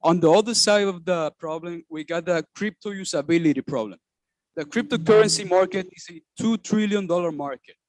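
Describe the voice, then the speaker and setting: Male presenter, high pitch, rehearsed pitch talk, dry mic. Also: brisk, dry recording, low-quality recording. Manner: steady, scripted delivery with enumerated points. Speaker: startup founder presenting.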